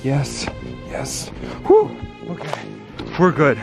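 A man's voice: mid-range voice, huge pitch swings, slow, gravelly, music in the background. A young man filming himself at arm's length as he hurries through the woods, talking urgently with gasps and exclamations. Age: young adult. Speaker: vlogger. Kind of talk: excited vlog narration. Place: woods outdoors. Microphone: handheld camera at arm's length.

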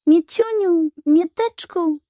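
A woman's voice: extremely high pitch, slow pace, mellow, dry recording, clean studio sound, voice pushed up. A woman voicing a scheming, hungry male pug in a children's storybook, with a pleading, theatrical, self-important manner. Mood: coaxing.

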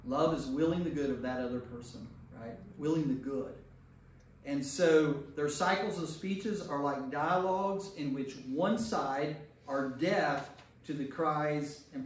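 A man speaking as a pastor leading an informal Bible study, conversational, repeating phrases for emphasis and checking in with listeners. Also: mid-range voice. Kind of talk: informal group teaching with questions to listeners. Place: echoey room with a small group. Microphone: distant room microphone. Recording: distant mic in echoey room, noise.

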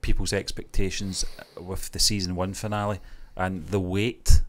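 A man with a fairly low voice gives his opinions as a reaction show co-host. He sounds middle-aged and talks casually, feeling his way through sentences, close on the mic. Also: flat delivery, moderate pace. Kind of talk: casual review chat. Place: small indoor home studio. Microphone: close microphone on boom arm.

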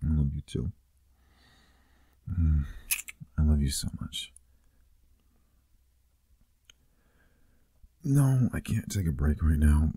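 A man's voice: rumbling low, sing-song, unhurried, mellow, husky, no echo, heavily acted. A man playing a teasing, affectionate boyfriend in an intimate, casual ASMR roleplay, murmuring playfully.

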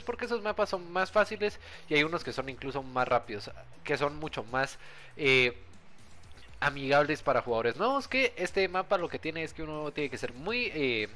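A man's voice: a little high, bright, forceful tone. A young man giving a casual, friendly gameplay tutorial, talking directly to his viewers in an informal way. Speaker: gaming video creator and host.

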